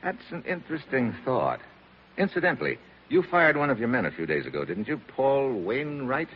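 An actor playing a dry, tough, unflappable American special agent in a 1940s radio drama. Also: male voice, medium pitch, big pitch swings, normal speed, husky. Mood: probing. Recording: muffled, noisy old 1949 radio recording.

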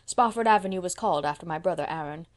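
A woman doing a brisk, proud old spinster. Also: on the low side, close to an everyday voice. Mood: emphatic. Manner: emphatic, decisive.

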